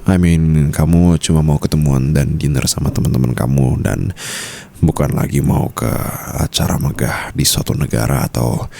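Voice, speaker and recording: Guy acting as low gravelly mafia husband, teasing asmr murmur, possessive, slips into english. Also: steady tone, warm tone, slight background noise. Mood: questioning, skeptical. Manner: slow, teasing murmur, mixing in English phrases. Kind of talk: intimate ASMR roleplay.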